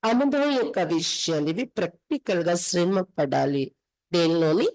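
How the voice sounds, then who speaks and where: A woman's voice: on the low side, sing-song, moderate pace, a little mellow. A woman from India with a Telugu accent, reading scripture aloud and explaining it instructively as a spiritual teacher.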